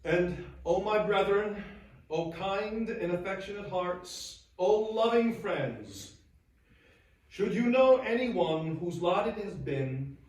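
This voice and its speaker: Echoey, slow, solemn churchman. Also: high-pitched, lively intonation, darkish tone. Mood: tender, earnest. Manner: solemn, deliberate, emotive, oratorical with weighted pauses. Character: 19th-century English churchman in a sorrowful, earnest farewell to friends. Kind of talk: theatrical dramatic reading. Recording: very echoey space, distant microphone.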